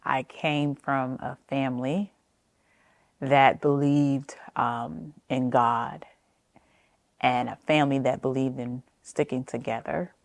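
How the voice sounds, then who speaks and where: Very deep-voiced American woman, reflective. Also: steady tone, slow pace, vocal fry, dry recording. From New Jersey, United States. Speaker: interviewee sharing family history. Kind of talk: personal oral-history storytelling for documentary.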